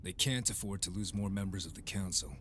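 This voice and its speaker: A man voicing a calm, grave rebel in an audio drama, speaking in a measured way. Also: low voice, close to an everyday voice. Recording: steady background hiss.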